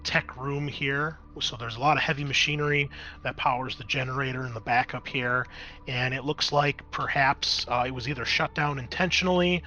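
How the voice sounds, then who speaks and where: A man's voice: medium pitch, moderate pace, bright, forceful tone, lo-fi sound, faint music behind. A man narrating a tabletop horror game as game master, improvising in a conversational, explanatory way.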